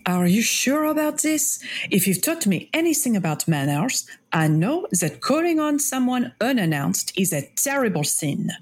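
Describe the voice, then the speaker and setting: An actress playing a guarded adventuress in an audio drama, speaking in a formal, dry and precise way. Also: female voice, on the high side, very animated, normal speed, breathy edge. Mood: dry, skeptical. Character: guarded, formal, world-weary female adventurer.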